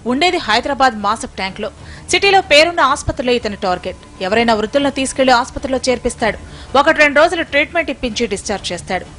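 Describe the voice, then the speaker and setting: A woman's voice: high voice, animated, racing, fairly bright tone. A woman reading a scripted news voice-over in a dramatic, emphatic manner. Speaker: news reporter doing voice-over.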